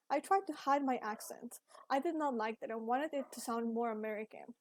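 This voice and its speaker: A young woman from Ecuador, a Spanish speaker with an Ecuadorian accent, giving an earnest, measured talk about her own life as a university student. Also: on the high side, darkish tone, no echo. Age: young adult. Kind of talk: scripted personal video essay.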